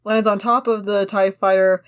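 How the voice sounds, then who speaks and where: A woman's voice: medium pitch, steady tone, moderate pace, clear, resonant tone. Opinionated woman in casual discussion. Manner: opinionated, argumentative. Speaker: podcast co-host. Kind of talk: casual discussion, reading a script aloud with commentary.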